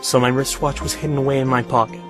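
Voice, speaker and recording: A grim young man acted by a male performer narrates a visual novel in measured, brooding tones. His pitch stays even and restrained, and loud music plays behind him. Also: natural voice. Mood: somber, brooding. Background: loud background music.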